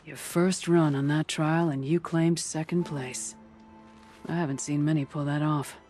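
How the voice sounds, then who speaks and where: A woman's voice: sits low, steady tone, a little slow. An old huntress who keeps the trials in a video game. She talks in a wry, blunt, instructive way, with faint music underneath. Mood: wry, proud. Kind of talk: video game dialogue acting.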